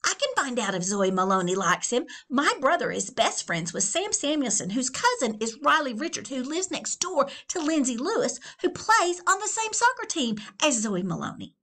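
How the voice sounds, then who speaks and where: A woman's voice: sits high, big pitch swings, sharp tone, pitched above the performer's own voice, exaggerated voice. A woman voicing a know-it-all young teenager who rattles off gossip in a rush. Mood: eager. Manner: rapid-fire gossipy rattling.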